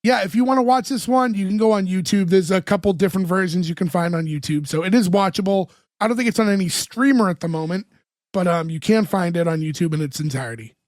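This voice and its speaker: Brief: podcast co-host, man, high voice, dry close sound, chatty and filler-heavy, voice getting worn. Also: fast talker, no echo. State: losing his voice. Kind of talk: casual chat, recapping a TV episode.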